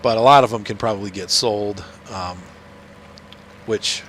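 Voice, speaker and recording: A man's voice: fairly low pitch, unhurried, a bit bright, slight background noise. Nasal man with a cold, chatty gamer. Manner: rambling, chatty, many fillers and restarts. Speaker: gaming channel host. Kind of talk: casual commentary while playing.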